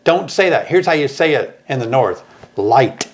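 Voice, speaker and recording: A middle-aged man from Tennessee talks about beekeeping in a relaxed, folksy way. His pitch rises and falls a lot, and he tends to ramble through his stories. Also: normal speed. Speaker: beekeeping channel host. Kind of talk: casual chat.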